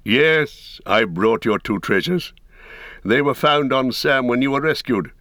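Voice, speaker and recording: A man voicing a wise, kindly old male wizard who speaks in a measured, stately way. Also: medium pitch, pushed and bright, no echo, studio quality, voice pushed up.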